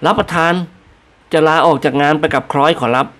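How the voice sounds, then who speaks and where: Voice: mid-range voice, a little fast, a bit bright, close to an everyday voice. A reader voicing a humble, deferential male servant answering his masters politely in an audiobook.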